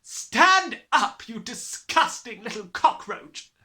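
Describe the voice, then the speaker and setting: A reader voicing a huge, bullying female headmistress with a booming, contemptuous delivery, pitched well above the reader's own voice. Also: lively intonation, slow pace, bright, forceful tone. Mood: raging.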